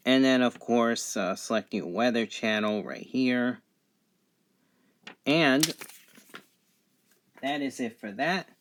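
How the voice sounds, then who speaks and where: A man giving an unscripted product review, going item by item in a matter-of-fact, halting way. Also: mid-range voice, a little hiss or hum.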